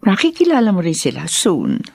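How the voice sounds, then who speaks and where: A woman's voice: on the low side, huge pitch swings, a little fast, creaky, a little mellow, breathy edge, no echo, clean studio sound, full character voice. A woman acting in a Tagalog radio drama as a remorseful middle-aged mother, hesitant and pleading.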